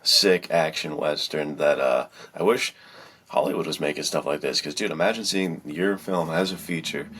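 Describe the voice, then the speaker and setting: A man with a deep voice chats as a podcast host. He is casual and enthusiastic, using loose slang. His manner is encouraging toward listeners. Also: normal speed, fairly bright tone, slightly rough.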